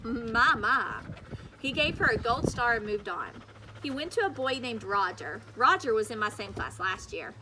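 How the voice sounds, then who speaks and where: A woman's voice: fairly high pitch, animated, normal speed, noisy background. A woman reading a children's story aloud as a teacher, narrating expressively with emphatic, childlike phrasing.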